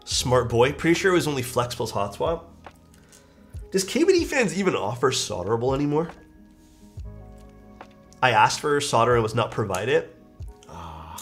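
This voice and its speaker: A man's voice: medium pitch, sing-song, moderate pace, pauses often, faint music behind. A young man with a stuffed-up, nasal voice, chatting casually on a live stream while he builds a keyboard and reads out chat. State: stuffed-up nose.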